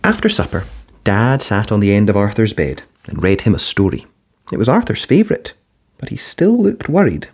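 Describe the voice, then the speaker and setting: Man, storyteller, children's picture book read aloud, gentle, very animated pitch. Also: moderate pace, breathy edge. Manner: expressive, gentle bedtime-story delivery.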